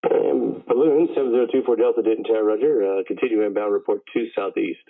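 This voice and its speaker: Man, tower controller, very fast talker, routine procedural phrasing, tinny narrow radio transmission. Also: lowish voice, creaky. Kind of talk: air traffic control phraseology. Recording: tinny, phone-like radio channel.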